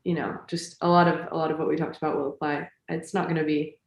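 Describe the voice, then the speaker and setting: A woman on a panel answering questions about music videos in a relaxed way, thinking out loud. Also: low-pitched, fast. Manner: relaxed, thinks aloud with fillers. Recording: roomy echo.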